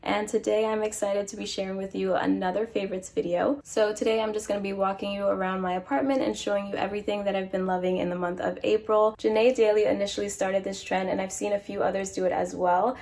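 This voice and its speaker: Young woman, congested, gravelly edge, casual home vlog, friendly recommendations. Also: medium pitch, steady tone, fast, hardly any pauses, darkish tone. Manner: chatty, upbeat product recommendations. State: congested from a cold. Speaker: lifestyle vlogger. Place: home apartment, kitchen.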